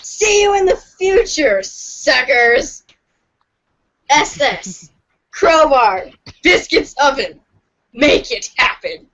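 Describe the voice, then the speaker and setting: A woman's voice: high voice, expressive, takes it slowly, long pauses, fairly bright tone, dull audio. A woman reading a comic aloud theatrically, with a playful, dramatic delivery. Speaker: podcast reader of a webcomic.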